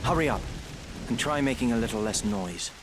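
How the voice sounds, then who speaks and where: An actor playing a cold, scornful knight abductor in a fantasy game, curt and mocking. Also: male voice, medium pitch, lively intonation, fairly quick, natural voice. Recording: heavy rain-like hiss over voice.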